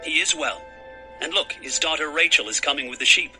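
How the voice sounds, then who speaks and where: Bright-voiced plain-spoken biblical shepherd. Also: male voice, fairly high pitch, fairly quick, soft background music, poor audio. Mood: matter-of-fact. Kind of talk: dramatized scripture acting.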